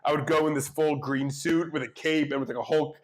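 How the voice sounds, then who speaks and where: A young adult man, a political candidate and schoolteacher, chatting in a relaxed, rambling way full of filler ums as a guest. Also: a little high, brisk. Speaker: state senate candidate and schoolteacher. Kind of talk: casual interview chat.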